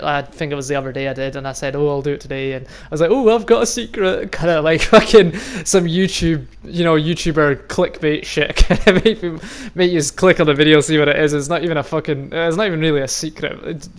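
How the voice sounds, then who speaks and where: A young Highland man with a Scottish accent talks straight to his channel's viewers, rambling and swearing as he goes. His voice sits a little high for a man and comes across smooth and clear. He laughs easily between thoughts. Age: 20s. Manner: chatty and rambling, swears freely, laughs often. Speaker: vlogger and youth football coach. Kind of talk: casual monologue to camera.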